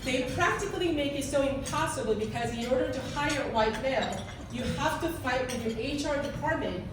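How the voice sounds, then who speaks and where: A woman from South Korea speaks with a Korean accent in an earnest, halting way, restarting her sentences as she goes. Her voice sits fairly high, and she sounds far from the mic. The room behind her is very echoey, with clear background noise. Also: expressive. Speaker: guest speaker. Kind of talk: speech to a club audience. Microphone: handheld mic.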